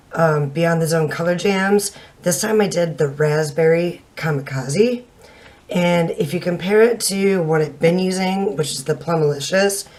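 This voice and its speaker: A woman in her thirties, slightly hoarse from little sleep, giving a frank, chatty hair dye review to a camera at home. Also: low-pitched, a little mellow. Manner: frank and chatty, casual swearing. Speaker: beauty vlogger. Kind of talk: casual product review to camera. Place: home room with shelves, indoors.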